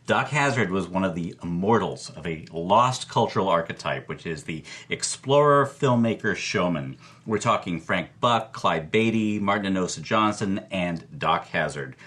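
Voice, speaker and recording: A man's voice: low voice, lively intonation, moderate pace, hardly any pauses, a bit bright. A man speaking as an amused, enthusiastic film historian, telling a story in conversation with emphatic delivery.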